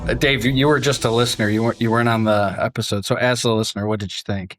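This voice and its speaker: A man's voice: mid-range voice, steady tone, very fast, over music. A man with an American accent hosting a podcast in a chatty, jokey, casual way. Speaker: podcast host.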